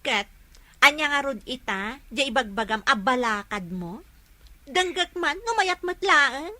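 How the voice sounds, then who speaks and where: Actress doing a stubborn young woman in a radio drama, really animated, piercingly bright. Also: high-pitched, a little slow, heavily acted. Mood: challenging. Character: headstrong young woman eager to meet her textmate.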